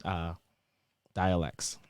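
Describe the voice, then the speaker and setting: A young man whose Trinidadian accent drifts toward American, a guest explaining things in a lively, casual way with lots of examples and fillers. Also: low voice, extremely slow, clear, resonant tone, dry recording. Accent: Trinidadian shifting toward American. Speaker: interview guest, PhD student.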